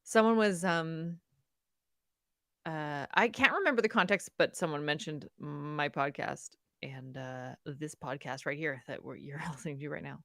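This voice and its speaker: A woman co-hosting a podcast in casual chat, chatty and quick to laugh. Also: deep voice, gravelly, a bit bright, dry recording, studio quality. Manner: chatty, laughs often, mock whispers. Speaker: podcast co-host.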